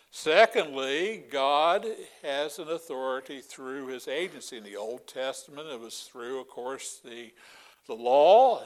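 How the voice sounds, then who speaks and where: An older man preaching a Bible lesson in a folksy way, with many fillers and asides. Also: mid-range voice. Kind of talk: Bible teaching sermon.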